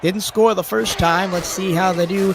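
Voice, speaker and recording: Hoarse man calling football play-by-play. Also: steady tone, moderate pace, noisy background. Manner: rises sharply in pitch and volume on big plays. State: hoarse, lower voice after a cold. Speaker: play-by-play commentator. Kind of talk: sports play-by-play commentary.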